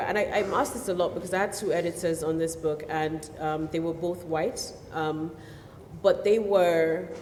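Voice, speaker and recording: A novelist from Nigeria, a woman whose first language is Yoruba, answering questions at a festival in an animated way with many fillers. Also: lowish voice, slight background noise. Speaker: novelist guest. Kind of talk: festival stage conversation. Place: festival hall. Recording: echoey hall with long reverb.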